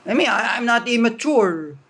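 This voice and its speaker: A young man with a Filipino accent and a high-pitched voice rants straight to the camera. He is angry and accusing, repeats himself, and stumbles and restarts in halting English. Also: animated, sharp tone, slightly rough. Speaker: vlogger.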